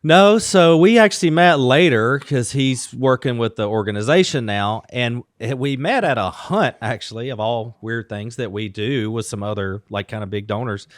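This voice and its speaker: A man co-hosting a podcast, telling stories in a lively, casual way and laughing often. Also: mid-range voice, normal speed, few pauses, no echo, studio quality. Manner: animated storyteller, laughs often. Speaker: podcast co-host. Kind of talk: casual chat.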